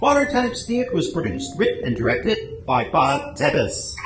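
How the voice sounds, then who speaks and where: A man's voice: high voice, wildly swooping pitch, normal speed, loud music behind the voice. A man announcing from a script in an old-time radio style. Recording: echoey stage recording, clear noise.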